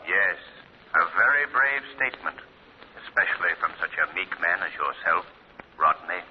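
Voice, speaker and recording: Casting: a sinister, mocking unseen avenger, low measured voice, on a thin, hissy 1940s radio recording. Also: male voice, expressive, muffled recording. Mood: menacing. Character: invisible mocking male avenger, calm and menacing. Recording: scratchy 1940s radio broadcast.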